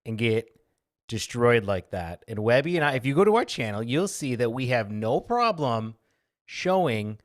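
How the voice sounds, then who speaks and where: A man co-hosting a podcast, teasing and bantering in casual chat. His voice is mid-pitched. It sounds dry and close to the mic. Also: sing-song, normal speed, dry recording. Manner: joking, playful. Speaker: podcast co-host.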